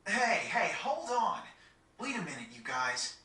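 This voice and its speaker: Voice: medium pitch, very animated, a little slow, sharp tone. An actor playing a casual, protective, take-charge teenage boy in a video game cutscene, frank and informal. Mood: urgent, frank. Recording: echoey, distant game audio.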